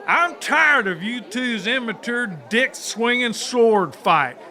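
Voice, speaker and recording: A man's voice: very high voice, expressive, slow pace, pushed and bright, some chatter behind, full character voice. A man playing a cocky, wisecracking knife-throwing fighter in an audiobook drama, taunting theatrically with a drawl. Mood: fed up, boastful.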